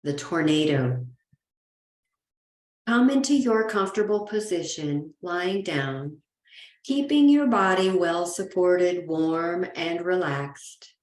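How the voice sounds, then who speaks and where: A woman leads a guided relaxation in a roomy, echoing space. Her voice sits a little low, and she speaks very slowly and soothingly. She leaves gentle pauses between phrases as a yoga nidra guide. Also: lively intonation.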